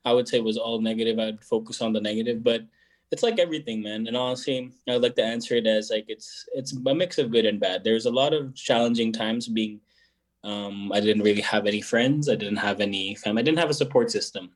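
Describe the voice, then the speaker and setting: Reflective man, halting speech. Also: on the low side. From Philippines. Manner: reflective, hesitant, many fillers and restarts. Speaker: guest musician. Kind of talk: casual interview answers.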